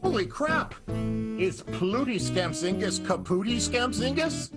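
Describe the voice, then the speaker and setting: A man's voice: high voice, sing-song, slow. A man narrating an improvised radio play in a jokey, announcer-like way. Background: guitar music.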